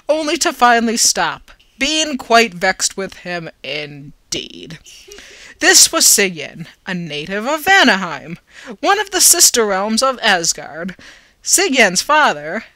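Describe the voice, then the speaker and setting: Casting: amateur storyteller for a fantasy tale, lively pitch swings, bright tone, theatrical with tongue in cheek. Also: dry recording. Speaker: amateur reader. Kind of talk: dramatic reading of a fantasy story, narrated.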